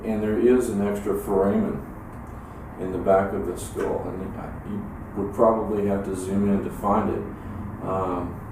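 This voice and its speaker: Male academic, deep, measured and halting, distant mic, heavy room echo. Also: little pitch movement. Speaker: academic expert giving an opinion. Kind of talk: unscripted expert explanation. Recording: echoey room, distant mic, clear noise.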